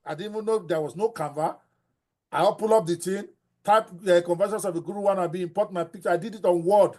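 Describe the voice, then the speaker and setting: An older Nigerian man with a Yoruba accent, teaching a book-writing class in a blunt, animated way and dropping in Yoruba phrases. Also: high-pitched, fast talker. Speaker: host.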